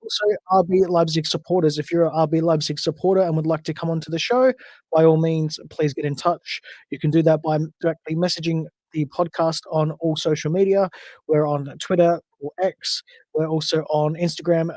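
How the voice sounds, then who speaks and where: A man's voice: fairly high pitch, darkish tone, dry recording. An Australian man hosting a solo podcast livestream, chatting casually and rambling with frequent self-corrections. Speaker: podcast host.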